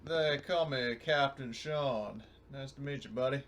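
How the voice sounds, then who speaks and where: A man's voice: medium pitch, unhurried, a bit bright. A man playing a tabletop role-playing game, chatting casually and speaking in character, on a slightly noisy mic.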